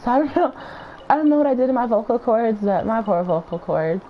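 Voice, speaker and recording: A woman streamer with a hoarse, raspy voice, rambling through casual commentary while she plays. Her voice sits a little high, and the stream audio is dull and lo-fi with a faint hiss underneath. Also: animated, a little fast. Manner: rambling, chatty, thinking aloud. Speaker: game streamer.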